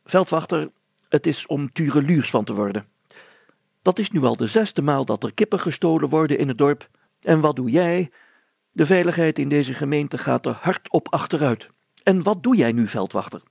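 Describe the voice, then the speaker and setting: A man's voice: fairly high pitch, expressive, normal speed, gravelly, a little mellow, husky, no room sound, voice pushed up, exaggerated voice. A man voicing a fussy, officious village mayor in a story, blustering and easily exasperated.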